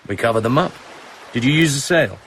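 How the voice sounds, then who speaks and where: An actor playing a cool, self-assured English secret agent in a radio drama, probing and protective, with clipped, understated delivery. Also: male voice, medium pitch, animated, brisk, fairly bright tone, slight background noise, close to an everyday voice.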